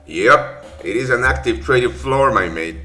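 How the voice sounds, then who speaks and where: Bright-voiced man. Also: mid-range voice, lively intonation, moderate pace, slight background noise. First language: Spanish. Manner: rambling, many fillers and self-corrections. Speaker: trading session host. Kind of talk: casual live-stream market commentary.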